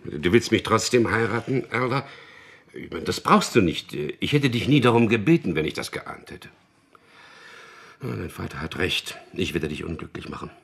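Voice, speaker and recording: Anguished man with very deep gravelly voice. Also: expressive, moderate pace, a bit bright, heavily acted. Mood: shaken, tender. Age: 50s. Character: anguished condemned man of fifty-two, bitter and doubting. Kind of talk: radio drama acting, dialogue and intimate inner monologue.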